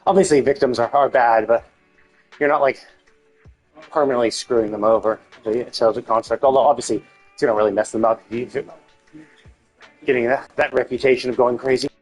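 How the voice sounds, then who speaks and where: A man's voice: mid-range voice, steady tone, brisk, long pauses. A man giving casual, rambling live commentary as a game streamer, thinking aloud as he goes. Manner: mild casual swearing. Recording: game music under the voice. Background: game music with guitar.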